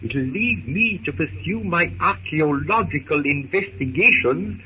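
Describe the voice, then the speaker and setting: An actor playing a fussy, absent-minded older archaeologist who stammers hesitantly, in 1930s radio drama style. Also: male voice, a little high, lively intonation, measured pace. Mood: fussy, pleased. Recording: noisy, muffled 1930s radio recording.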